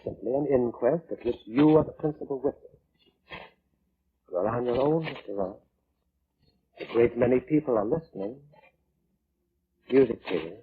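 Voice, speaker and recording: An actor playing a coolly polite, insistent coroner in a 1940s radio drama, speaking formally with sinister, needling courtesy. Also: male voice, medium pitch, moderate pace, long pauses, lightly acted. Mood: mock courteous. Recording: narrow, tinny, noisy old radio broadcast.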